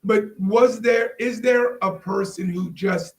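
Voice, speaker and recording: A host chatting informally on a live show, asking questions and thinking out loud. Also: medium pitch, slow. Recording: faint background noise.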